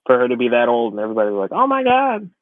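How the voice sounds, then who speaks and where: A man's voice: mid-range voice, wildly swooping pitch, racing, no echo. A man co-hosting a podcast in casual, conversational chat. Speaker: podcast co-host. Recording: tinny, phone-like, dry sound.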